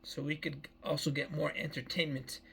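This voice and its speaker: A man's voice: on the high side, flat delivery, moderate pace, gravelly, pushed and bright, breathy edge. A man in his early thirties giving a meandering personal life update, often repeating himself and restarting phrases. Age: 30s. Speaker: vlogger.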